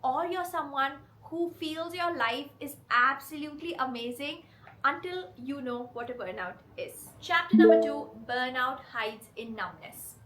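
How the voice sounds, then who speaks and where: A woman with a cold that leaves her voice hoarse and rough, hosting her channel and talking earnestly and directly to her viewers. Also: high voice, unhurried, fairly bright tone, low-quality recording. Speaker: channel host. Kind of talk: confessional vlog talk to camera.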